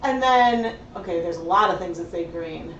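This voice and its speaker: A woman with a slightly hoarse voice hosts a relaxed livestream tutorial, rambling as she thinks aloud and filling pauses with likes and ums. She sits back from the mic in a roomy, echoey space with a faint hum of ambience underneath. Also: on the low side, highs cut off. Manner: chatty, thinks aloud, many fillers. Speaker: livestream host dyeing yarn. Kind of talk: casual livestream tutorial chat. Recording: roomy sound from a distant mic.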